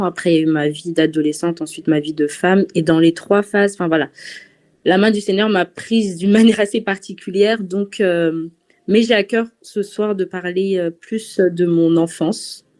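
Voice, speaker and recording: A woman from France giving a personal testimony to a church gathering, pausing often and restarting her sentences. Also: lowish voice, darkish tone. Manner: hesitant, many fillers and restarts.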